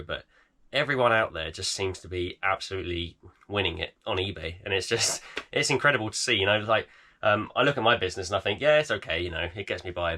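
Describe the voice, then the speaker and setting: A man in his thirties, croaky and tired, chatting casually in a vlog with lots of fillers. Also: sits low, sharp tone. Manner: tired, rambling with many fillers. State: croaky, voice almost gone. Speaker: eBay reseller vlogger. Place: home garage.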